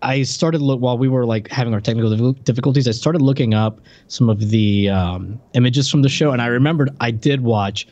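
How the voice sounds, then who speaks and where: A man speaks as a podcast co-host in relaxed, unscripted chat. His pitch is average for a man, and his delivery is hesitant, often doubling back on words while he gathers his thoughts. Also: a little fast, hardly any pauses, highs cut off.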